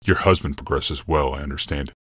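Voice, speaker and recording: A man playing a grave, deep-voiced emperor. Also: fairly bright tone, slightly rough, no room sound, clean studio sound, exaggerated voice. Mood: cold. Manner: slow, solemn pronouncements. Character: grave, towering male emperor obsessed with struggle.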